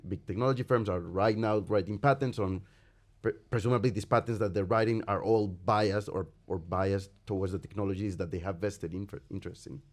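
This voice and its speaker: A man's voice: fairly low pitch, steady tone, brisk. A man in the audience of a lecture, asking a long rambling question and thinking aloud with many asides. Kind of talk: long spoken question at a talk. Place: lecture room.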